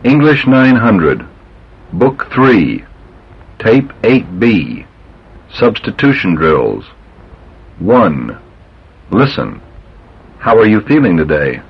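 Deep-voiced man reading language drills. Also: big pitch swings, slightly rough. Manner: slow, deliberate enunciation. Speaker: language tape instructor. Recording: dull old language tape.

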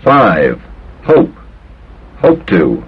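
A man reading English drill words and sentences for a language tape in a slow, measured way. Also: lowish voice, wildly swooping pitch, vocal fry, slightly rough. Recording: dull old language tape.

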